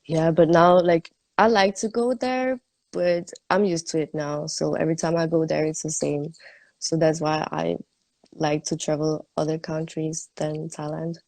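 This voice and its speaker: A woman's voice: sits low, steady tone, measured pace, a little mellow, dry recording. A woman, chatting informally as a guest and searching for English words. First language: German. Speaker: guest, tattoo artist. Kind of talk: casual interview chat.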